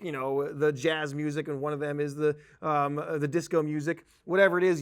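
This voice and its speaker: A man giving a conversational software tutorial as a trainer, explaining each step casually. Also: a little high.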